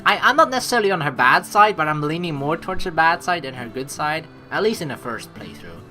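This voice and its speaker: Casting: excitable female let's player, lowish lively voice, casual reactions and narration, faint music behind. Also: quick pace. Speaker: let's play host. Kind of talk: casual game commentary, reads narration aloud.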